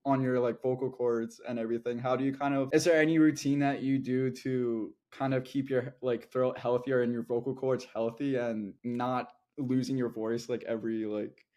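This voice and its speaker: A man's voice: mid-range voice, fairly even intonation, moderate pace, hardly any pauses, darkish tone, highs cut off. A young man co-hosting an interview, laughing often and asking loose, rambling questions. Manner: giggly, asks rambling questions. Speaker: co-host. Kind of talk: casual interview chat.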